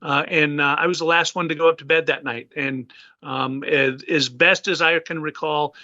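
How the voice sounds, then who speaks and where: A man's voice: fairly high pitch, steady tone, normal speed, sharp tone, no room sound, highs cut off. A man in his fifties, a retired naval officer, giving an interview and recounting events with many fillers and restarts. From United States. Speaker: guest.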